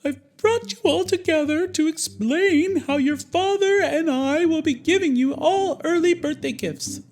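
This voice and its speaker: A man's voice: very high voice, expressive, a little slow, darkish tone, pitched above the performer's own voice, full character voice. A man voicing an exasperated, loving mother music note in a children's story, exclaiming in a pleading tone. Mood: explaining, caring.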